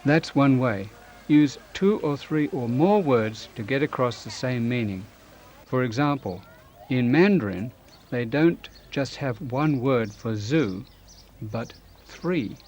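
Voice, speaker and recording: An older man presenting a scripted educational video, explaining in a clear, measured way, filmed in a studio. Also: medium pitch, animated, darkish tone. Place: studio, dark curtain behind.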